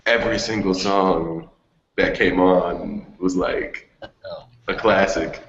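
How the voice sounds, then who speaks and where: Male talk host, low register, casual delivery, muffled roomy recording with music underneath. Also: steady tone, slow, creaky, a bit bright, a little hiss or hum. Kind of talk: casual chat.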